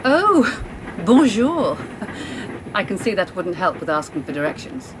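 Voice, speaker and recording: A woman's voice: big pitch swings, a little slow. A woman playing a polite, talkative, slightly apologetic British middle-class traveller in a radio play. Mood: friendly. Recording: faint noise, train rumble.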